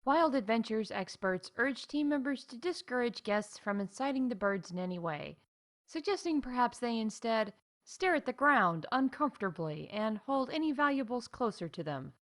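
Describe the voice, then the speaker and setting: A woman reading scripted comedic announcements in a playful, mock-official deadpan as the announcer of a news show. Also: a little high. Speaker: announcer of a fictional theme park news show.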